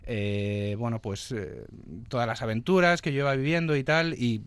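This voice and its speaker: A man's voice: mid-range voice, moderate pace, fairly bright tone, clear, resonant tone, no room sound. Middle-aged Madrid man, dry close sound. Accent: Madrid Spanish. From Madrid, Spain. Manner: hesitant, repeats phrases. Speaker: interview guest, travel blogger and former sports journalist. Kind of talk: casual interview chat.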